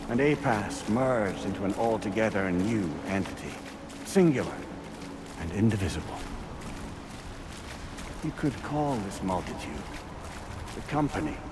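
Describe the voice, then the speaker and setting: An actor playing a calm collective entity of many souls, explaining things in measured, formal speech as in a cinematic game cutscene. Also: male voice, lowish voice, background noise, natural voice. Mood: grandiose, formal. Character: calm collective entity of merged souls, speaking as one man.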